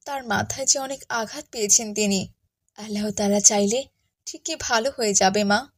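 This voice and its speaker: A woman narrating a cartoon tale and voicing a gentle, caring, pious village mother. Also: medium pitch, moderate pace, breathy edge, close to an everyday voice. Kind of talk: cartoon storytelling with character dialogue.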